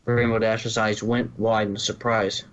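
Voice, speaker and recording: A man's voice: fairly low pitch, little pitch movement, unhurried, dull audio. A man reading scripted story narration, now and then stumbling and rereading a phrase.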